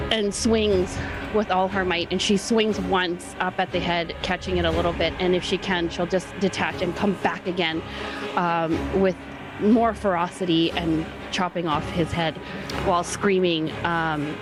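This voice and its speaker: A woman's voice: lowish voice, poor audio. A woman chatting casually as a tabletop game player and improvising descriptions of her character's moves. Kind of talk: casual, improvised role-play chat and description. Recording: background music behind the voice. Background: music.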